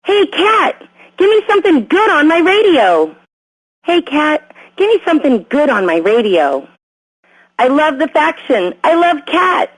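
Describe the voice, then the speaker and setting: A woman's voice: high voice, sing-song, a little slow, low-quality recording. A woman playing an eager young female radio caller who makes sing-song fan requests. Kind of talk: scripted game voice lines.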